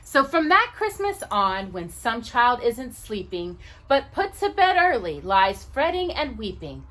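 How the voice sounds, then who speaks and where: A woman's voice: fairly high pitch, very animated, a little slow, a bit bright. A woman reading a children's picture book aloud expressively, with casual asides to the listener.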